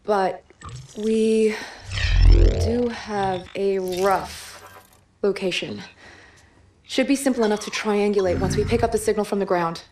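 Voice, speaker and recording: A woman playing an eager, analytical scientist aboard a starship in a video game, speaking briskly. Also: medium pitch, darkish tone, slight background noise, lightly acted. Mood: explaining. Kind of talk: cinematic video game acting.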